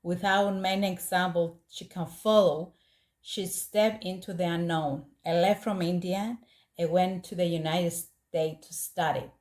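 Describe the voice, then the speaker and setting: Slow, low-pitched Greek-accented woman narrator. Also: fairly even intonation, darkish tone. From Greece. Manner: earnest, measured storytelling with personal asides. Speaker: podcast host. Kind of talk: scripted storytelling podcast narration.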